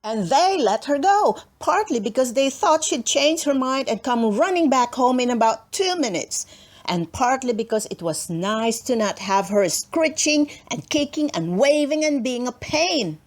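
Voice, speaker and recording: A woman's voice: sits high, animated, unhurried. A woman narrating a children's storybook expressively, with dramatic emphasis and playful asides. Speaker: storybook reader.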